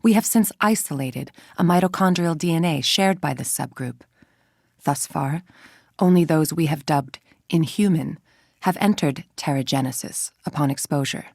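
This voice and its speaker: A woman's voice: deep voice, normal speed, creaky, a little mellow, no echo. Haughty evil lady scientist for a video game, controlled and menacing, really dry close sound. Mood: clinical, stern. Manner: controlled, precise, condescending. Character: cold, menacing woman scientist villain, self-righteous and haughty. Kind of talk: video game voice acting, scripted dialogue and briefings.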